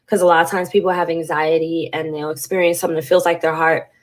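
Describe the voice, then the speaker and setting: A woman's voice: low-pitched, flat delivery, fast talker. A woman vlogger with a congested, stuffy voice, talking frankly and emotionally to camera and swearing casually. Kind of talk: candid talk to camera.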